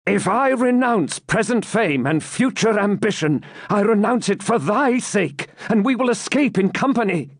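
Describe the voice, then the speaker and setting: A man's voice: high-pitched, expressive, normal speed, few pauses, no echo, clean studio sound. A man voicing a proud, fierce male knight templar who speaks in an impassioned, commanding way in a storybook reading. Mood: ardent.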